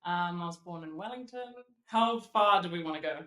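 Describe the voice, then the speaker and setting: A woman's voice: lowish voice, brisk, pushed and bright. A woman with a New Zealand accent, an opera singer and model, telling stories with giggles as a podcast guest. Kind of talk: casual interview chat. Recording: roomy echo.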